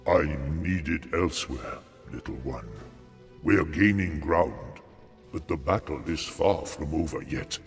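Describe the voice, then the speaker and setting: An actor playing an ancient, solemn dragon ally in a fantasy game, speaking in a grave, measured, patronizing way. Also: male voice, extremely low pitch, faint music behind, full character voice. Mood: grave, calm. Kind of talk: fantasy video game voice acting. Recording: long hall-like reverb.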